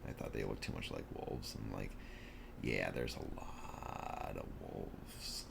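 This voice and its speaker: A man talking softly in an ASMR drawing video, relaxed and slow, slipping into whispers now and then. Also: vocal fry, heavy background noise. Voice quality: deep voice. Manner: soft-spoken, slow and relaxed, drifting into whispers. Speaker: ASMR artist. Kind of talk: soft-spoken ASMR chat while drawing.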